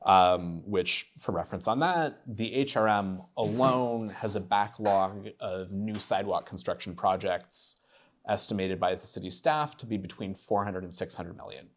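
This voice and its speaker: A man's voice: fairly low pitch, moderate pace. A man giving a talk, laying out budget numbers in a matter-of-fact way. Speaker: speaker on transport funding. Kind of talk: presentation talk.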